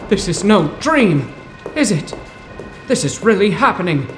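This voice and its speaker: An actor playing a bewildered, bookish poetry professor in a 1930s-style radio drama, with mannered old-fashioned phrasing. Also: male voice, high-pitched, very animated, measured pace, echoey room, over music. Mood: horrified disbelief.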